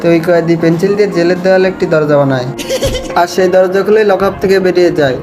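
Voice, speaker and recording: A male narrator with a noticeably high voice recaps cartoons for laughs. He talks quickly in slangy, exaggerated, mocking phrases. Music plays clearly behind the scripted voice-over.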